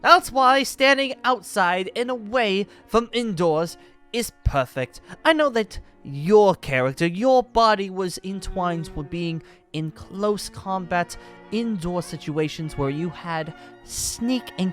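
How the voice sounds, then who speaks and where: A man's voice: high voice, big pitch swings, slow pace, fairly bright tone, soft background music, full character voice. A man playing an exuberant, boastful dragon-slayer wizard in a Minecraft roleplay, speaking in a pompous, genteel and theatrical manner. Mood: proud, instructive. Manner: theatrical, flamboyant, cackling, pompous. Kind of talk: improvised Minecraft fantasy roleplay acting.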